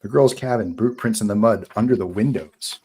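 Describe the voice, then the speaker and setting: A man's voice: on the low side, a little fast, darkish tone, breathy edge. A man narrating stage directions from a film script at a live table read.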